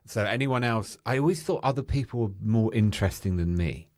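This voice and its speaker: A man with a loud, exuberant and flamboyant way of speaking, his voice sitting on the lower side. He talks candidly and openly in a relaxed podcast chat. The studio mic sounds dry, with hardly any room echo. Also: a little fast.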